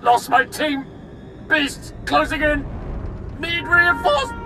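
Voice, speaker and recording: An actor playing a desperate commando leader in a video game, sending a clipped, panicked emergency transmission. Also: male voice, very high-pitched, takes it slowly, pushed and bright, soft background music, heavily acted. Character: desperate male commando leader calling for help. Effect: holographic transmission. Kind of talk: video game cutscene acting.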